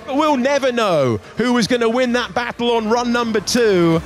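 A man commentates on motor racing in an excited style, his voice pitched very high. Music and car engines sit clearly behind him. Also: normal speed.

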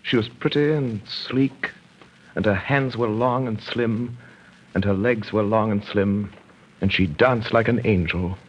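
An actor playing a suave, weary husband with a dry, clipped, ironic way of talking in a radio play. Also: male voice, fairly low pitch, a little slow, dull audio, slight background noise, natural voice.

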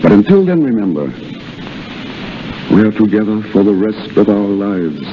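Low-voiced young officer, old radio drama. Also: male voice, big pitch swings, normal speed, noisy background. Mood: tender, urgent. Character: young army officer, tender lover, earnest and strained. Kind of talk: 1930s radio drama acting. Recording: muffled old 1930s radio recording with music.

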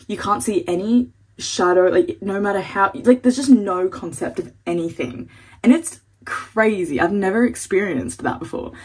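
A woman's voice: lowish voice, darkish tone, breathy edge. A young woman, chatting casually to her phone camera in a vlog and saying "like" a lot. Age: young adult. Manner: chatty, lots of "like", bubbly. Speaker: vlogger. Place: bedroom at night, then outdoors in a city. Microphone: phone held at arm's length.

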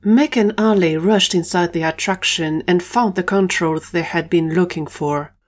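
A woman's voice: on the low side, moderate pace. A woman narrator reading scripted storytelling for an audio drama.

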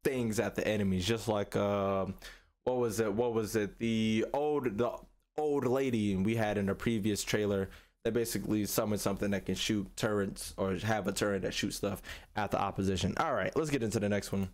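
A man's voice: fairly low pitch, moderate pace. A young man giving casual reaction commentary in slangy speech, repeating phrases in bursts. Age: young adult. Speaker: reaction video host. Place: small room indoors at a streaming setup. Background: music from the trailers he watches.

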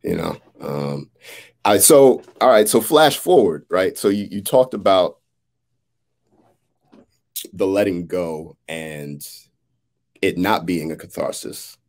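Deep, gravelly American man, thoughtful. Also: animated, a little mellow. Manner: halting, thoughtful, often checks in with "right?". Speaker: playwright. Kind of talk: reflective conversation. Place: indoors, on a video call. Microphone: video call.

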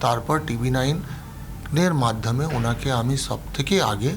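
A man's voice: medium pitch, normal speed, noisy background. A man giving a personal account in an interview. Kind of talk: interview soundbite.

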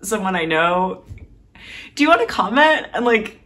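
A woman's voice: mid-range voice, very animated, a little slow, a bit bright, distant mic. A young adult woman talking in an informal, self-deprecating way, as in a casual personal vlog. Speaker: novelist vlogger. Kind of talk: casual vlog chat to camera. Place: small home room with desk.

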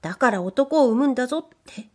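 A woman voicing a shy young maidservant who speaks in a soft, bashful whisper. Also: sits high, animated, fast talker, pitched above the performer's own voice.

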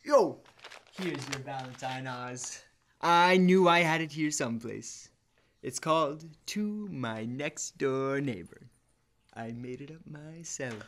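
A man's voice: medium pitch, takes it slowly, a little hiss or hum. A young man playing a jovial, teasing next-door neighbor in an old-time radio comedy, with a playful, folksy manner. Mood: cheerful. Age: teens to young adult. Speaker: student actor. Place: school library, indoors, live stage reading.

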